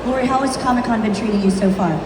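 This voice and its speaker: A woman's voice: a little high, rapid-fire, noisy background. A woman hosting a convention panel, putting upbeat questions to the guests. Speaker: panel host. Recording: echoey convention hall, crowd, distant PA.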